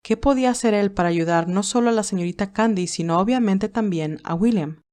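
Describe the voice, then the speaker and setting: A woman narrator reading a romantic drama as a scripted audiobook, in a medium-pitched voice. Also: a little fast, a little mellow.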